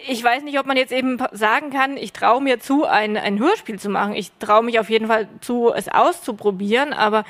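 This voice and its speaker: Woman, workshop participant on a panel, reflective, slightly high voice. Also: fairly quick, few pauses, a bit bright. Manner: reflective, searching for words. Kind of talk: panel discussion. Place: stage at a festival panel.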